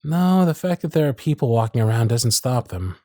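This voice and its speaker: A man playing a charming, gentle circus magician who is smitten and privately anxious, speaking tenderly and softly in an ASMR romance roleplay. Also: medium pitch, brisk, no echo, clean studio sound, close to an everyday voice. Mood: warm, charming. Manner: soft-spoken, flattering, tender, with hushed asides. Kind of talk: ASMR romance roleplay with intimate inner monologue.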